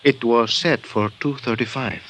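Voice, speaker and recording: An actor playing a grave, formal Mexican hospital doctor, speaking in a measured, halting way in a 1950s radio drama. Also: male voice, medium pitch, a bit bright, lightly acted. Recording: old radio broadcast with faint hiss.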